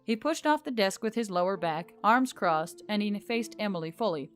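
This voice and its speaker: A woman's voice: medium pitch, animated, moderate pace. A woman narrates a story in a mid-range voice. She reads from a script in audiobook style. Music plays behind her.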